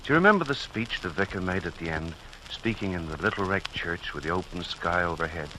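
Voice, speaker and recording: A man's voice: deep voice, animated, fairly quick. An actor playing a fond, earnest middle-aged family father in a 1940s radio drama. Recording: hissy, noisy 1940s radio recording.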